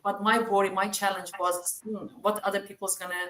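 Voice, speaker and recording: A woman's voice: medium pitch, flat delivery, brisk, fairly bright tone. Persian-accented woman in an echoey room. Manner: reassuring, hesitant with many fillers. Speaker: team member sharing experience. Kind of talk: casual group chat.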